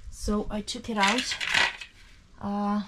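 A woman's voice: mid-range voice, little pitch movement, slow pace, heavy background noise. A woman in her forties talks through her renovation work step by step in a casual vlog, filmed outdoors at a cottage on a handheld camera. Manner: chatty, explains step by step with fillers. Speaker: vlogger. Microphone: action camera held close.

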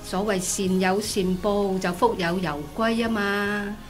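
A woman's voice: mid-range voice, steady tone, a little slow, lightly acted. A woman with a Hong Kong Cantonese accent playing a chatty, pleading orphanage director in a radio drama. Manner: exclamatory, persistent. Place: small padded radio studio. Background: music.

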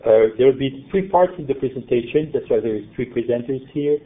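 Man, from Belgium, native French speaker, conference talk, halting with fillers, thin phone-like sound. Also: medium pitch. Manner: halting, many fillers and restarts. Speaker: conference presenter, center principal investigator. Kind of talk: conference presentation. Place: conference room. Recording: tinny phone-like conference recording.

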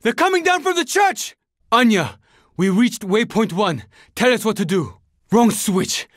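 High-voiced young survivor in a zombie game. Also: male voice, lively intonation, measured pace, a bit bright, no room sound, clean studio sound, heavily acted. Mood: urgent alarm. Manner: terse, earnest, urgent. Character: young Japanese male survivor fighting a zombie horde, urgent, determined. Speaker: video game character. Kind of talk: video game voice lines, dramatic combat barks.

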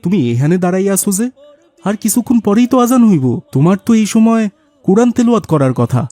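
Tired poor village dad in a cartoon, bangladeshi accent, soft mellow voice, earnest, very dry sound. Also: male voice, high-pitched, expressive, fast, no echo, soft background music. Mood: stern, fatherly. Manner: earnest, rural dialect. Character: poor, weary, devoted village rickshaw puller father. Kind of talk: cartoon voice acting.

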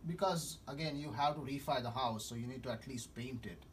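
A man's voice: medium pitch, fairly quick, a little hiss or hum. A middle-aged man in a small office, thinking aloud through figures while typing at his computer. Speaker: real estate investor. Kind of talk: casual phone discussion. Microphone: far camera. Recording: distant mic, faint keyboard typing.

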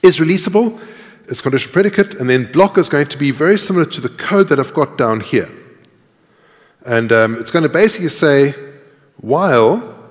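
A man's voice: fairly high pitch, animated, a little fast. Man lecturing in a reverberant hall. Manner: explanatory, thinking aloud while typing. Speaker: conference speaker. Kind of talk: live coding technical lecture. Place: large tiered lecture hall.

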